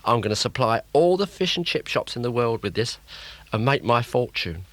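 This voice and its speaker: Guy doing an overexcited inventor bloke, high pitched, stammers, flustered, very up n down, story tape. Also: sing-song, moderate pace, fairly bright tone, dry recording. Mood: boastful. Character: excitable, boastful, bumbling man inventor.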